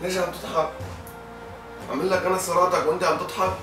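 A man's voice: sits high, little pitch movement, quick pace, natural voice. A young man playing a remorseful friend who pleads for forgiveness, recorded from a distance in a roomy space with music behind. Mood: pleading. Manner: pleading, guilt-ridden.

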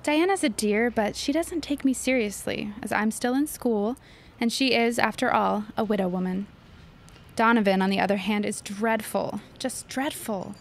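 A woman's voice: fairly high pitch, normal speed, natural voice. A woman playing a gossipy, scheming teenage schoolgirl with an emphatic, melodramatic manner in a period audio drama. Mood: gossipy disdain. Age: teenage character.

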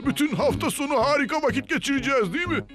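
Very high-pitched scheming cartoon guard dog. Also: male voice, racing. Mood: gloating, falsely polite. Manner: theatrical, sly chuckling. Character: scheming, exasperated male guard dog, falsely polite host. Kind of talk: Turkish-dubbed cartoon acting. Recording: music behind the voice.

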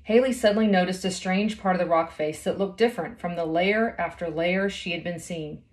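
Lower-pitched woman reading a story aloud. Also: fairly quick. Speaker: storybook reader. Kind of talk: read-aloud of a children's novel. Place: small indoor room at home.

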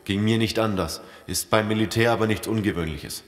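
A man in a German audio drama playing a steady, practical survivor with a brisk manner. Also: fairly low pitch, lightly acted. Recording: long hall reverb.

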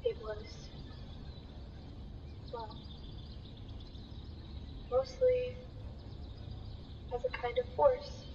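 A woman's voice: on the high side, very animated, drawn-out words, pauses often, exaggerated voice. Grave scholarly doctor, played by a woman, solemn and declamatory, for radio horror; muffled, distant, reverberant recording. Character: grave, learned doctor warning of otherworldly evil. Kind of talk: theatrical radio drama acting. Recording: muffled, echoing, distant, noisy.

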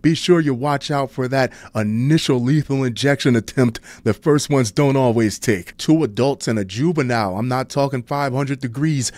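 A man's voice: medium pitch, moderate pace, few pauses, a little mellow, dry recording. A man with an American accent reading crime news and commenting on it in a blunt, sardonic, slangy way.

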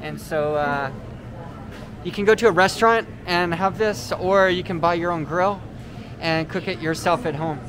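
A man's voice: sits high, moderate pace. A man hosting a vlog, casually explaining products as he walks through a large indoor supermarket, with many fillers and a camera mic. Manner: relaxed, explanatory, frequent uh fillers. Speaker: vlog host. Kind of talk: casual walking tour commentary. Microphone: handheld camera mic while walking. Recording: noisy store background. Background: store ambience noise.

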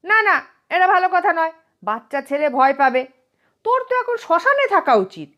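Woman as a high-voiced, stern widowed aunt. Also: sing-song, normal speed, heavily acted. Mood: sharp scolding. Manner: sharp, scolding, commanding. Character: stern, fearless, kindly widowed elder aunt who scolds ghosts. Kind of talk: storybook character voice.

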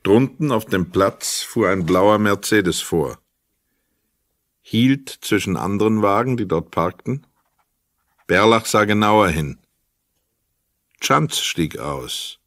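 Male narrator for crime radio play: low voice, close dry sound, literary storytelling. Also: lively intonation, slow pace, pauses often, fairly bright tone, no echo.

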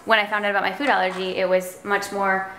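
A young woman co-hosting a cooking segment in a home kitchen, chiming in with agreeable questions and quick replies. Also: mid-range voice, level tone, gravelly, bright, forceful tone, a little hiss or hum. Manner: chatty, agreeable, quick back-channel replies. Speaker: actress co-host. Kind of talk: casual cooking chat. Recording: roomy kitchen with clattering dishes.

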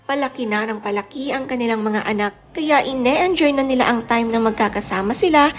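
A woman reading a scripted showbiz news voice-over and reading social media captions aloud in a calm mix of Tagalog and English. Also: on the high side, a little fast.

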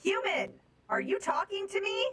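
A woman's voice: extremely high pitch, expressive, moderate pace, fairly bright tone, pitched above the performer's own voice. A woman voicing a curious, commanding female space robot with stilted, imperious phrasing in an improvised online game roleplay. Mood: commanding, inquisitive.

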